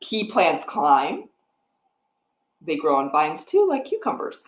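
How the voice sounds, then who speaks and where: A middle-aged American woman speaks to young children in a storytime style, her pitch moving in lively swoops. She explains things simply and puts questions to the listener. The sound is roomy, picked up by a device microphone indoors. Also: slow. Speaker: children's librarian hosting storytime. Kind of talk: picture-book read-aloud and craft talk to young children. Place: room at home with wooden floor and piano. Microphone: device microphone at about arm's length.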